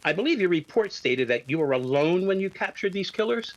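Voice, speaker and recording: Stern, animated police inspector played by a man. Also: high-pitched, poor audio. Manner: stern, measured, authoritative. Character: stern, formal male police inspector, a commanding officer. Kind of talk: 1950s radio drama acting.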